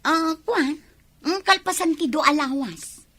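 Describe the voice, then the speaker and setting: A woman's voice: high voice, animated, a little slow, sharp tone, full character voice. A woman playing a brazen, evasive neighbour who lives on credit in a comedy radio drama, with an Ilocano accent. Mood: breezy, evasive.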